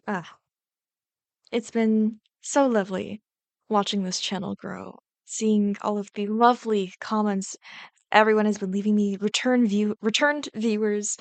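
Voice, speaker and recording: A woman's voice: mid-range voice, no echo. A woman chatting casually to her viewers in a gushing, playful, rambling way. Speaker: YouTube ASMR voice actor.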